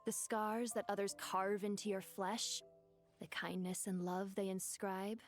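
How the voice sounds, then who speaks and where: A woman's voice: medium pitch, level tone, unhurried, close to an everyday voice. A woman voicing an ancient, devoted djinn for a video game, speaking in a theatrical, eloquent and formal way. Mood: tender, probing. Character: ancient djinn woman, grand, wistful, devoted to her lost mistress. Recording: music behind the voice.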